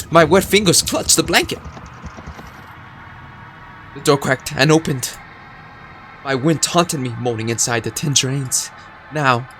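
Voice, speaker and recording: A man's voice: medium pitch, fairly bright tone. A young man playing a horror visual novel, reading the narration aloud with suspenseful emphasis. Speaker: gaming video creator.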